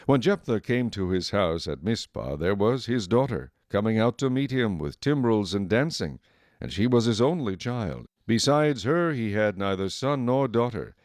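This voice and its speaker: A man delivers scripted Bible narration as the storyteller of an audio drama. His voice is on the low side and his phrasing is formal and measured. The recording is very dry and close. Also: lively intonation, a little mellow, dry recording.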